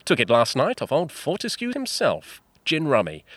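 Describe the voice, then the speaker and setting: An actor playing a flippant, jovial upper-class 1930s English gentleman full of period slang in an audio drama. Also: fairly high pitch, huge pitch swings, normal speed, dry recording, heavily acted. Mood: smug, generous.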